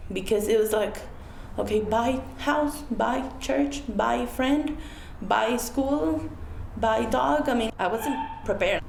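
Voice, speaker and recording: A woman's voice: fairly high pitch, takes it slowly, creaky, a little mellow. A woman in her twenties from the United States speaking earnestly and a little hesitantly in an interview. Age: 20s. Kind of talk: reflective interview talk. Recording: roomy echo, faint noise.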